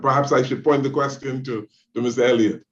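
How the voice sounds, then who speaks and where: A man's voice: mid-range voice, steady tone. Bahamian guy moderating a panel, formal, lots of uhs and ums, muffled sound. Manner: measured, many filler sounds. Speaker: moderator, ambassador. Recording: muffled lo-fi audio.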